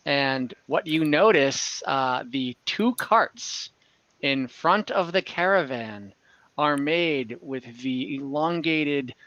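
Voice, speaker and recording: Slow, halting man running a tabletop game, roomy sound. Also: on the high side, fairly bright tone. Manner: halting, pausing mid-sentence while narrating. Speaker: game master. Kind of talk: narrating an online tabletop game. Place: indoor room, online call. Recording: dull, roomy home recording.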